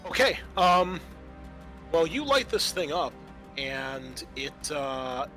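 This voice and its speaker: A man narrating a tabletop roleplaying game as game master, pausing often as he works out what to say. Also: a little high, big pitch swings, pushed and bright, faint music behind.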